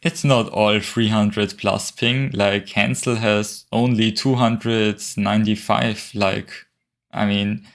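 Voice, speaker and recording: An Austrian man commentates a game tournament in a relaxed, unhurried way. His voice sits a bit low, and he drawls with slow pacing. He fills pauses with hesitant ums and soft chuckles. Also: vocal fry. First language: German.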